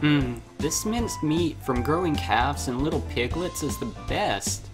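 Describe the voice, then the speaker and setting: A man voicing an eager, cheerful schoolgirl character from a visual novel, with an excitable, playful manner. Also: on the high side, a little slow, music in the background, pitched above the performer's own voice. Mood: relishing. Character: eager, cheerful schoolgirl who loves food and scary stories.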